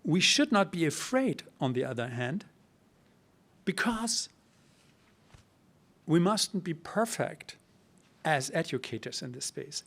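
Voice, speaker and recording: A middle-aged man giving an academic lecture, speaking in a measured, emphatic way with pauses, then answering audience questions. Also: a little high, sing-song, creaky, a bit bright. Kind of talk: academic talk and Q&A. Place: lecture hall.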